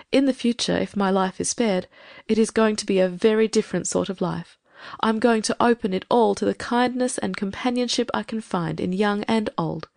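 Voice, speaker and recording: A woman voicing a proud, frail elderly spinster who has been humbled by illness, speaking gently and reflectively. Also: medium pitch, gravelly, dry recording, studio quality, natural voice. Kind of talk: audiobook character reading.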